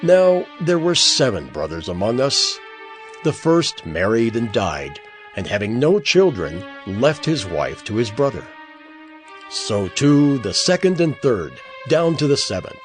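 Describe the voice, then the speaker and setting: A man's voice: mid-range voice, very animated, a little slow, soft background music. An actor playing a sly male Sadducee who asks probing, rhetorical questions.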